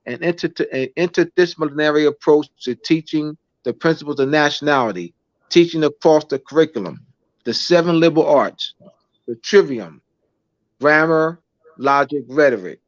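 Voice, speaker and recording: A man from the United States, a teacher and author, reads out a lesson outline and then chats casually, halting and repeating words. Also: on the high side, animated, dry recording, dull audio.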